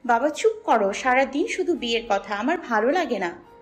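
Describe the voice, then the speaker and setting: A woman's voice: on the high side, moderate pace, lightly acted. A woman voicing a spirited young daughter who mocks and scolds her family in an audio story. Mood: mocking. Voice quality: mocking, lively. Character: spirited young unmarried daughter, quick-witted. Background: soft music.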